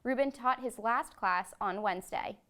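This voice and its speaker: A young woman anchoring a student newscast, reading in a clear, measured way. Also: a little high, fairly bright tone. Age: young adult. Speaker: news anchor. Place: student TV studio.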